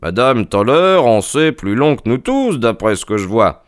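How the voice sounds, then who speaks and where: A man voicing a sharp, confident detective in a brisk, authoritative, emphatic way. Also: mid-range voice, big pitch swings, no echo, studio quality, lightly acted. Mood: courteous, curious. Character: sharp, commanding male detective, confident and urgent.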